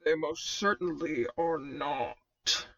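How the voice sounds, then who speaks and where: A woman performs a stern armored Sith lord, low and heavily gravelled. He speaks slowly and formally through a mechanical filter, with clipped sarcasm and menace. The sound is very dry and close. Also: fairly bright tone, dry recording, heavily acted. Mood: cold anger. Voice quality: gravelly growl through a vocoder.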